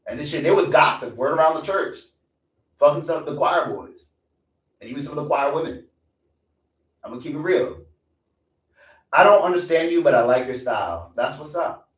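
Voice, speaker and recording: A man's voice: fairly high pitch, moderate pace, pauses often, distant mic. A man in his twenties hosting a live stream in a casual, profane rant that repeats lines for emphasis. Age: 20s. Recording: echoey room, away from mic.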